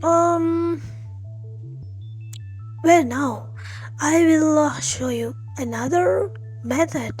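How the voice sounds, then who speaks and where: A woman hosting a tech tutorial, explaining step by step in a halting, friendly way and speaking straight to her viewers. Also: sits high, darkish tone. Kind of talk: spoken tutorial walkthrough.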